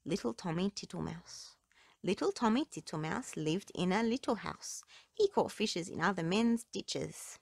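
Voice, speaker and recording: A woman's voice: lowish voice, expressive, a little slow, vocal fry, a little mellow, breathy edge, dry recording. A woman narrating children's stories and rhymes aloud as a storybook reader, recorded close up indoors.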